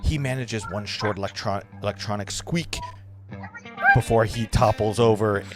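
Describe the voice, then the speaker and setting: A man's voice: fairly low pitch, moderate pace. A man reading stage directions as narrator at an amateur table read of a film script. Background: music.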